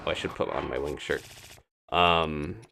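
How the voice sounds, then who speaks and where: A man's voice: extremely low pitch, a little slow, creaky, background noise. A man doing live gameplay commentary as a streamer, chatting casually and thinking aloud in a rambling way. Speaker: video game streamer.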